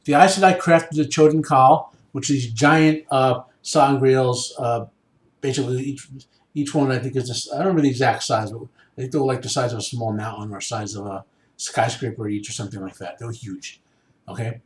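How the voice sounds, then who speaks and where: A male podcast host gives informal, explanatory commentary. He speaks haltingly and often checks in with the listener, in a strongly echoing room. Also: mid-range voice, steady tone. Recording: echoey room sound.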